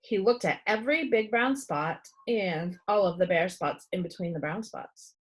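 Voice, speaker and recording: A woman reading a children's book aloud as expressive storytelling narration. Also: mid-range voice, normal speed, slightly rough.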